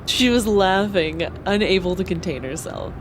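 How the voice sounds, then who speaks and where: Woman as young space pilot narrating a radio drama, earnest, very expressive, noisy background. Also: medium pitch, normal speed, lightly acted. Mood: reflective narration. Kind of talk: first-person audio drama narration. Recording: clear vehicle ambience behind voice.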